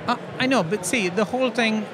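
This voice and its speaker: Brief: man in his 40s, interview guest, argumentative, high voice, noisy hall behind. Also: brisk. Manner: argumentative, uses rhetorical questions. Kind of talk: conversational interview. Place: large hotel hall at a conference. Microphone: handheld mic. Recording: noisy background.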